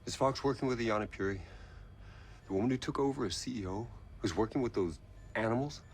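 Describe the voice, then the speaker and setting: An actor playing an anxious, remorseful tech company founder in a video game cutscene, intense and troubled. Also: male voice, medium pitch, fairly quick, slight background noise, close to an everyday voice. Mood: urgent.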